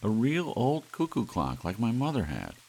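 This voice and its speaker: A man reading an audiobook, voicing a sentimental, excitable wife with an earnest, emotional delivery. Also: medium pitch, moderate pace, creaky, a little hiss or hum, voice pushed up. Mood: astonished delight. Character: Doris, excitable, sentimental wife, tender and wistful.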